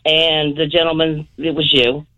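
A woman's voice: sits low, little pitch movement, unhurried, pushed and bright, no room sound. A middle-aged woman phoning in to a radio show, telling a personal story in a rambling, earnest way. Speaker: radio call-in guest. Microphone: telephone. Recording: muffled phone call to radio.

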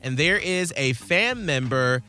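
A man co-hosting a morning radio show with sassy, emphatic, animated banter. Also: fairly high pitch, a little slow, faint music behind.

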